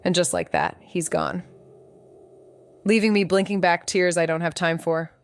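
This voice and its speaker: Smooth, sardonic young female investigator. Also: fairly low pitch, a little slow. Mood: wry, uneasy. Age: young adult. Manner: wry, sarcastic. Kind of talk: noir audio drama narration and dialogue.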